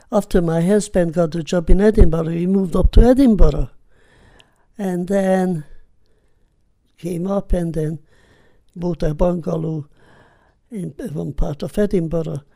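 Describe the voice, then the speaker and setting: An older woman from Hungary with a Hungarian accent, recalling her life in an unhurried, reflective way during an oral history interview. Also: fairly low pitch, vocal fry, mellow. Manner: unhurried, reflective, simple phrasing.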